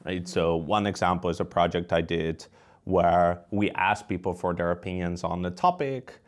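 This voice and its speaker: A man's voice: low-pitched, normal speed. A man with a Dutch accent, a researcher giving conversational, explanatory answers as an interview guest. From Netherlands.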